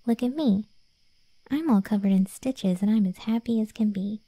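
A woman voicing a cocky, cheeky undead cat girl in a soft, breathy, slangy ASMR roleplay. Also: mid-range voice, normal speed, no room sound, natural voice. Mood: upbeat consoling. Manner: soft and breathy, often near whispering, slangy, teasing.

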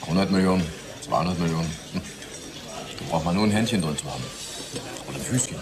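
A man's voice: low voice, lively intonation, fast. An actor playing a jovial, needling, cynical company man in a radio drama. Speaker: company colleague character. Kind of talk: German radio drama acting. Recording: crowd chatter behind the voice.

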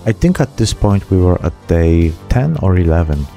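A man in his thirties hosts a hobby painting vlog. His voice sits fairly low and has a very mellow, soft-edged tone. Faint music plays behind him. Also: sing-song, normal speed, creaky. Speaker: hobby channel host. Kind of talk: miniature painting vlog narration.

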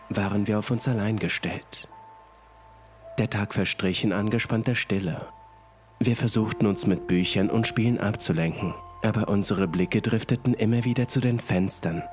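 Male narrator for creepy audiobook, lower register, scripted first-person delivery, music and rain underneath. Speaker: horror story narrator. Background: music and rain sounds.

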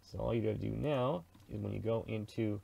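A man's voice: fairly low pitch, fairly quick, gravelly, warm tone. A middle-aged man from Canada giving a casual tutorial in a chatty, slangy, informal way, narrating his own thoughts as he goes. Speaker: presenter of a radio software tutorial. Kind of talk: casual screen-recorded tutorial.

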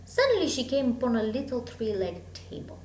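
A woman in her thirties reads a children's story expressively, with dramatic, deliberate phrasing, in a room at home. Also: fairly high pitch, very animated, a little mellow. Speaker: storybook reader. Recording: echoey room, hum and background noise.

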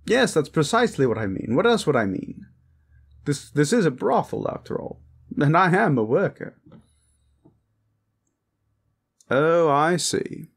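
A man's voice: fairly high pitch, sing-song, husky, exaggerated voice. A man voicing a lecherous, flamboyant vampire protector in a comedic audio roleplay, smug, pompous and suggestive. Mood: smug, chiding. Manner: theatrical, drawn-out, suggestive, pompous. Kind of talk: comedic character roleplay monologue, talking to the listener.

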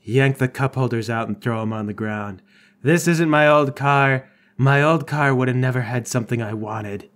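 A man playing a grumpy, contrary, complaining cop in a deadpan, curmudgeonly way during improvised comedic roleplay. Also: mid-range voice, normal speed, voice pushed up, lightly acted. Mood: indignant.

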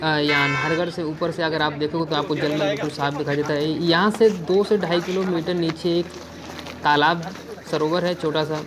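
A young man narrating a travel vlog outdoors on a hill road, chatting to viewers and giving safety advice. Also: fairly high pitch. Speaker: travel vlogger.

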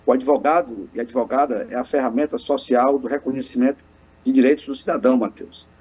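A man's voice: mid-range voice, steady tone, quick pace, darkish tone, breathy edge. A man in his thirties from Piauí, Brazil, a lawyer speaking earnestly and formally on a video call from his office, often restarting his phrases. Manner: earnest, speechlike, frequent hesitant restarts. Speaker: lawyer and guest. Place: office with bookshelves, indoors. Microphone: webcam on video call. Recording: muffled video call audio.

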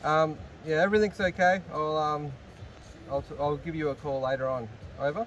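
Higher-voiced Australian man, noisy outdoors. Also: fairly even intonation, normal speed, recorded from a distance, muffled recording. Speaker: camper making a radio phone call. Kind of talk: casual radio-phone conversation. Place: outdoors at a bush campsite. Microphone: camera mic a short distance away. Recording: noisy outdoor camera recording.

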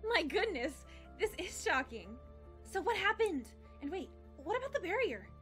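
Woman as a perky, high-voiced pony herbalist. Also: normal speed, exaggerated voice. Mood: astonished. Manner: animated, teasing. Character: perky, excitable young female pony herbalist, loyal best friend. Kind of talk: animated fan drama acting. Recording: music under the voice.

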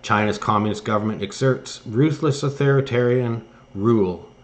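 A man's voice: medium pitch, moderate pace. A man hosting political commentary, reading articles aloud and stumbling now and then over the text. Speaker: host of a political commentary show.

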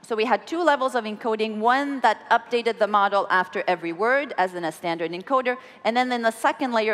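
A woman in her forties giving a technical keynote on stage, explaining her work in a measured way with some ums and restarts. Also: medium pitch, a bit bright. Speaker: keynote speaker. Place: large conference hall on stage. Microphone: podium microphone and headset mic. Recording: long hall reverb.

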